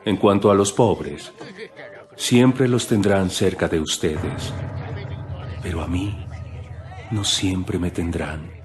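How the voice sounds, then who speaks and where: A calm, authoritative male teacher played by a man in a Bible audio drama. His voice is on the low side and solemn, with faint music behind it. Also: takes it slowly, pauses often.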